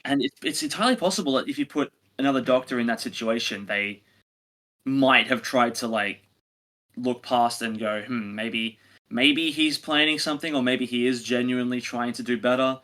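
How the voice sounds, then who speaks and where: A man with a bright, forward voice chats informally as a podcast co-host. He works through his points haltingly, thinking out loud in an analytical review discussion. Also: mid-range voice. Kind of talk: casual review chat.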